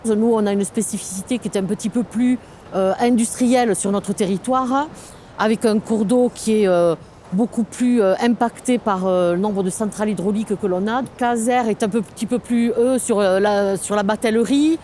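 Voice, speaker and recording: A woman with a soft-edged, mellow voice at a middle pitch explains a local project as an official in an interview. She searches for her words as she goes, pausing and repeating short phrases. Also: a little hiss or hum. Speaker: local government sustainable development officer.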